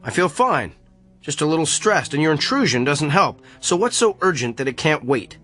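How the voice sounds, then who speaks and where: A man's voice: fairly high pitch, sing-song, normal speed, a bit bright, faint music behind. An actor playing a pompous, defensive male doctor with an inflated view of his gift, in a radio drama. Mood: irritated, curt. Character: arrogant, self-important gifted male doctor.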